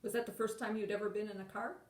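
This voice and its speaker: A woman's voice: medium pitch, steady tone, racing, a little mellow, far from the mic. A woman interviewing an older man, pressing him with follow-up questions. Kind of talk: oral history interview questions. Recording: roomy, from farther off the mic.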